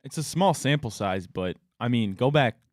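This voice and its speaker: A man co-hosting a sports talk show, adding his points to the host's argument in a measured way. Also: mid-range voice, lively intonation, dry recording, clean studio sound.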